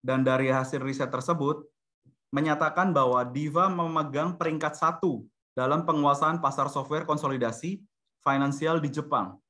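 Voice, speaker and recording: Bright-voiced Indonesian man presenting. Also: on the high side, moderate pace. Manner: explanatory, mixes in English business terms. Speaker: webinar presenter for a software company. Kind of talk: corporate product presentation, semi-formal. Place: online video-conference webinar.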